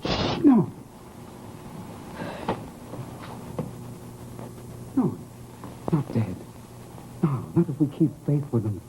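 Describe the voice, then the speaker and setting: An actor in an old-time radio horror drama playing an unhinged, softly insistent grieving widower, halting, coaxing and pleading. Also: male voice, on the high side, wildly swooping pitch, slight background noise, low-quality recording. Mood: fervent, hushed.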